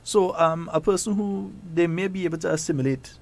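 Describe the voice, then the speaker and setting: A man hosting a talk show, chatty and quick to laugh. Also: a little high, gravelly. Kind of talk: casual talk show chat.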